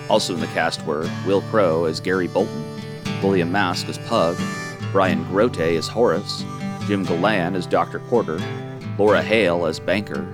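A man announcing scripted radio drama credits. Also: low voice. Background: plucked guitar music.

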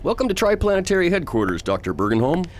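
A man playing a calm, reasonable patrol chief in a science fiction radio drama, composed and patient. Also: sits high, quick pace, slight background noise. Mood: calm, assured.

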